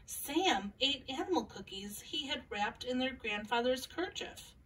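High-voiced woman reading slowly, storytime style. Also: expressive, fairly bright tone, breathy edge. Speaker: children's storytime host. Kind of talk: scripted introduction and picture-book read-aloud. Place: small furnished room at home, indoors. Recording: voice a little far from mic.

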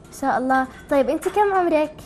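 A woman's voice: high-pitched, rapid-fire, a little mellow, soft background music. A woman hosting a chatty live TV call-in, asking simple, encouraging questions. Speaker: TV show host.

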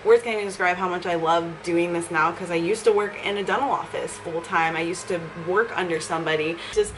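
A woman's voice: on the low side, a little fast, background noise. A young woman chatting casually in a vlog from a small office, upbeat and often laughing as she talks. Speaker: fitness vlogger and gym owner. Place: small office with leather couch, indoors.

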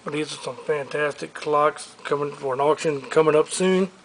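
A man with a slightly high voice walks a big warehouse showroom, rattling off furniture and collectibles in an upbeat salesman's patter. He is recorded on the camera he carries, with a faint hum of the space behind him. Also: a bit bright. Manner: listing items briskly, upbeat salesman patter. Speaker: auctioneer. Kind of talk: walkthrough preview of auction items.

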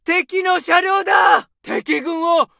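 A man voicing an aggressive young male infantry soldier in a war game, shouting taunts and orders. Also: very high-pitched, slow pace, creaky, dry recording, clean studio sound, heavily acted. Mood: alert.

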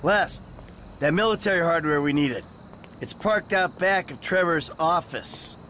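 An actor playing a weary middle-aged career criminal in a video game, insistent and cajoling. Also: male voice, fairly high pitch, unhurried, pushed and bright, a little hiss or hum. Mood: matter-of-fact. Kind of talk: video game dramatic acting. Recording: game audio with music behind.

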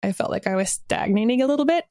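A woman's voice: on the high side, animated, quick pace, darkish tone, no room sound, clean studio sound. A woman in her thirties or older talking casually as a podcast guest, in an informal, rambling and self-deprecating way. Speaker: podcast guest, audio drama creator. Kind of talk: casual interview conversation.

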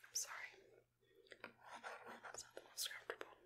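A young woman whispering breathily and gently, reassuring the listener as she murmurs step-by-step in an ASMR dentist roleplay. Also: moderate pace. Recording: clear background noise with clicking tool sounds. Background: noise, clicks of dental tools.

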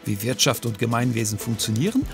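A man reading a scripted documentary narration in a calm, measured way. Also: medium pitch, gravelly. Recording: music bed behind voice-over.

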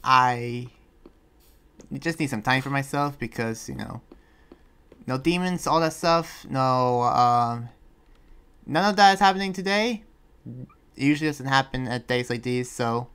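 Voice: medium pitch, measured pace, long pauses, fairly bright tone, clear, resonant tone. A performer improvising a polite, awkward young villager in a Minecraft roleplay, talking in a hesitant, rambling way. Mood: weary, casual.

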